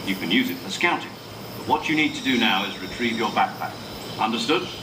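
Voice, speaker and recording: A man's voice: lowish voice, animated, brisk, recorded from a distance, natural voice. Man acting a firm commander in noisy, roomy audio. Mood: firm, instructive. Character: composed, authoritative male military commander briefing an agent. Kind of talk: scripted video game radio briefing.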